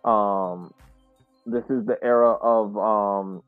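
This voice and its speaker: Phone-like, low-voiced man discussing sports. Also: fairly even intonation, dry recording, faint music behind. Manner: halting, searches for words. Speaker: podcast co-host. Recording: tinny, dry phone-like call audio.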